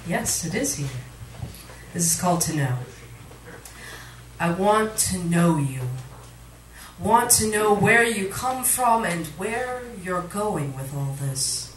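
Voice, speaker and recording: A woman reciting poetry at a live reading, with dramatic, deliberate phrasing. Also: lowish voice, animated. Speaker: poet, featured reader. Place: literary cafe, indoors, live reading. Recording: echoey room recording from far off, audience noise. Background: cafe audience sounds.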